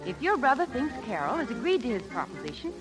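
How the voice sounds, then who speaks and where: A woman's voice: fairly high pitch, big pitch swings, fast, low-quality recording. An actress playing a scheming, pushy young showgirl, urgent and coaxing, in 1930s radio drama style. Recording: vintage radio broadcast with loud music behind.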